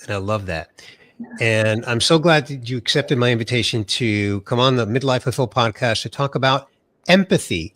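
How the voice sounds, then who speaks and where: A man's voice: medium pitch, fairly bright tone, no room sound. A middle-aged man from the USA hosting an interview podcast, sounding nasal from a cold and introducing his guest with enthusiasm. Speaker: podcast host.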